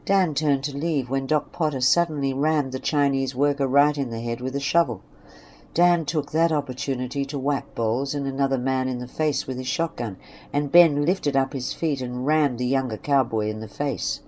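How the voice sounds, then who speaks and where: A woman's voice: very deep voice, moderate pace, darkish tone. A woman narrating a scripted western story. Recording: faint background noise and ambience.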